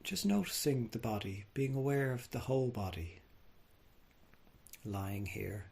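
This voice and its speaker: A man leading a guided body scan meditation, speaking gently and without hurry, leaving long pauses. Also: medium pitch, animated, vocal fry, breathy edge. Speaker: mindfulness meditation teacher.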